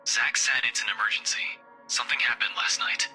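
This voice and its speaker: Gruff young cop in a game, sharp raspy edge, blunt n casual, music behind. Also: male voice, medium pitch, steady tone, brisk, low-quality recording. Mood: evasive, reassuring. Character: gruff, teasing young male cop, protective childhood friend. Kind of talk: visual novel game voice acting.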